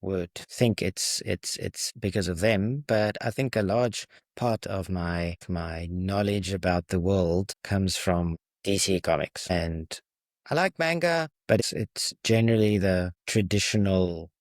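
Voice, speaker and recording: A man with a South African accent and Afrikaans as his first language talks casually as a podcast guest. His voice sits low, and the sound is close and dry. He often stumbles over the first words of a sentence before finding his way. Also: a little slow, dry recording. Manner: repeats words when starting sentences. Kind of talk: casual conversation.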